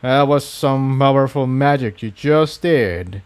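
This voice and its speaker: A man's voice: mid-range voice, slow, clean tone, dry recording, lightly acted. Man as a polite, uneasy pegasus stallion. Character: polite, uneasy male pegasus blacksmith pony.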